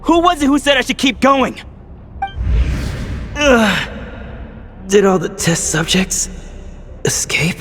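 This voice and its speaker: An actor playing an embittered, weary experimenter in a video game, suspicious and wounded, speaking in a halting, dramatic way. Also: male voice, very high voice, very animated, fairly bright tone, slight background noise, exaggerated voice. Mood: bitter. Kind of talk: video game character acting. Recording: long reverb with music behind.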